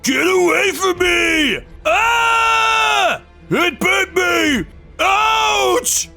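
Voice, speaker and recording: A man's voice: very high-pitched, animated, drawn-out words, creaky, soft background music, voice pushed up, exaggerated voice. Man doing a shrieking panicked young thug. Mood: terrified. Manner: frantic shouting and whining. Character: panicked young male thugs, screaming and threatening. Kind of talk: manga dub voice acting.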